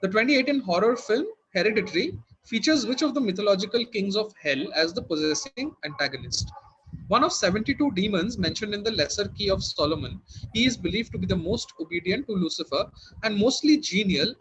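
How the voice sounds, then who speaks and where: A man's voice: high voice, animated, brisk, fairly bright tone, husky, low-quality recording. A man working as quiz master, reading formally worded questions to contestants and giving brief verdicts.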